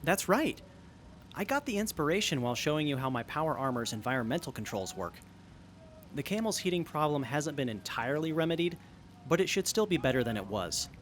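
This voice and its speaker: Man voicing a lively gadget-building engineer. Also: a little high, fairly quick, slight background noise. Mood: proud. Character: male engineer who builds gadgets, keen and confident. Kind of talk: audio drama acting.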